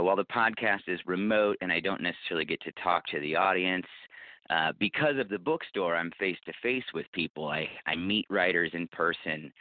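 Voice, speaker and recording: Low-voiced man on a phone line. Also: dry recording. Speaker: podcast guest, bookseller and podcast producer. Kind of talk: casual interview conversation. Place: phone call. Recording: roomless tinny phone line.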